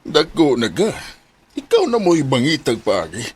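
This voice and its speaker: A husky, irritated middle-aged father in a radio drama. He is curt and dismissive, with animated swings in pitch. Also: a little slow, heavily acted.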